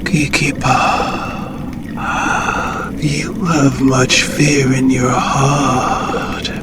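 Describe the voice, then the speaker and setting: A man's voice: medium pitch, fairly even intonation, very noisy. A man playing a giant, wise old water dragon in an audio drama, speaking in a solemn, portentous manner. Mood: grave, admonishing. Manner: slow, solemn, portentous delivery.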